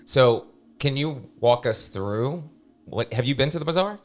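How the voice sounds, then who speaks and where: A man's voice: medium pitch, lively intonation, measured pace. A man hosting a podcast, asking curious questions and sharing fun facts in easy conversation. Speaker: podcast host. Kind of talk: casual podcast interview.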